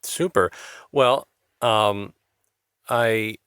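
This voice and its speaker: A man with a somewhat low, smooth and resonant voice hosts a relaxed interview. He nods along with "sure, sure" and builds loose questions. The sound is dry and close. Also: drawn-out words, dry recording. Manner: affirming, with filler-laden questions. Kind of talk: casual interview.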